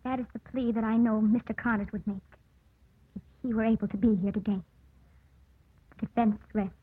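A woman's voice: fairly high pitch, fairly even intonation, a little fast, slight background noise, close to an everyday voice. An actress playing an earnest, composed woman lawyer in a vintage radio drama, speaking formally and emphatically. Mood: impassioned. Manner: formal, emphatic courtroom oratory. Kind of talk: 1940s-50s radio drama acting. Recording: muffled old radio broadcast.

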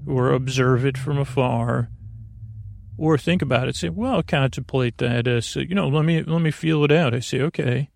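A man with a creaky voice hosting a bedtime podcast, talking in a soothing, meandering, halting monologue that often interrupts itself. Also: medium pitch, a little mellow, dry recording. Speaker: host of a bedtime sleep podcast.

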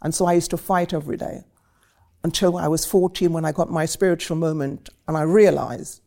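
A woman's voice: low voice, fairly even intonation, a little fast, creaky, dark tone. A woman in her seventies from Trinidad, a guest telling her life story in vivid, rhetorical phrases. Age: 70s. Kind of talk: reflective personal storytelling.

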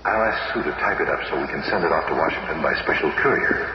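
A man's voice: a bass voice, fast talker. A man acting an earnest, brooding, brainy superhero scientist in a radio drama. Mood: businesslike. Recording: muffled, roomy, very noisy old radio recording.

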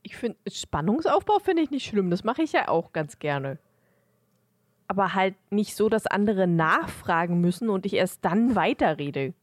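A woman's voice: mid-range voice, sing-song, moderate pace, no room sound, clean studio sound. A woman bantering casually as a podcast co-host in a blunt, teasing way.

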